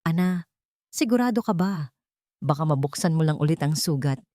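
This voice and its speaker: A woman's voice: sits low, lively intonation, normal speed, dark tone, no room sound, clean studio sound. A woman with a Filipino accent voicing a caring, worried older provincial aunt in an audio drama, concerned and motherly.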